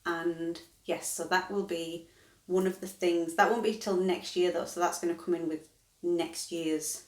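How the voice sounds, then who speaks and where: A woman in her thirties talks to camera in a loose, rambling way and keeps stopping to correct herself. Her voice sits fairly low for a woman. The sound carries a noticeable room echo. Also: fairly even intonation, a little slow. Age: 30s. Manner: rambling, chatty, self-correcting. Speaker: vlogger. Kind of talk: casual chat to camera. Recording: echoey room.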